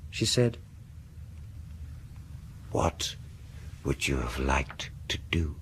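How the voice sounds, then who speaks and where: Deep, slow, menacing vengeful dentist. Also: male voice, big pitch swings, heavily acted. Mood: sinister, intimate. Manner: theatrical, menacing, lingering, dropping to whispers. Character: sinister vengeful male dentist, menacing, gloating and deliberate. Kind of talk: radio horror drama acting.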